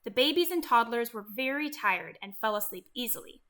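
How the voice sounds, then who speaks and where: A woman narrating a scripted read-along for children as an expressive storyteller. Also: a little high, fairly quick.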